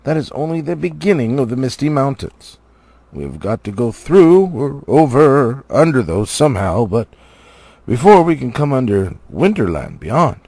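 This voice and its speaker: A man voicing a gruff storybook dwarf traveler who explains things firmly and emphatically. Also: mid-range voice, very animated, normal speed, dark tone, no room sound. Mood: firm correcting.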